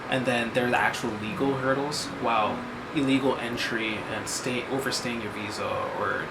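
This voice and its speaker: A man from Jamaica speaking in a casual conversation, measured and thoughtful with frequent pauses and fillers. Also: medium pitch, steady tone, heavy background noise. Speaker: guest. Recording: soft guitar music underneath.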